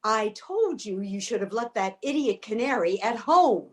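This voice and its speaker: A woman playing a grumpy, callous, eccentric lady in a staged comedy reading, curt and deadpan. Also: mid-range voice, normal speed, natural voice. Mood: grumpy, cutting.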